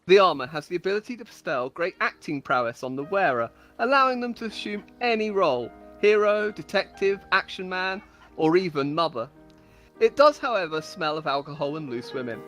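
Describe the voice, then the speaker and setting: A woman's voice: lowish voice, lively intonation, a little fast, a bit bright, faint music behind. A woman storyteller giving a dramatic, mock-epic reading of comic fantasy stories, with amused asides. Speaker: storyteller on a game server.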